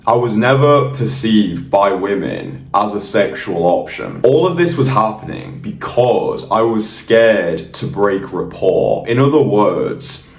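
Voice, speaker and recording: A young man talks straight to the viewer in a blunt, confident coaching tone, dropping swear words for emphasis. His voice sits on the low side. It sounds roomy and a little distant from the mic. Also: big pitch swings, slow. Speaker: dating coach. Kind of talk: direct-to-camera coaching monologue.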